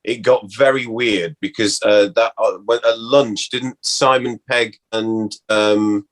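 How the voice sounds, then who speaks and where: A man, an actor being interviewed, reminisces casually in a halting way, often interrupting himself. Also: fairly low pitch, fairly even intonation.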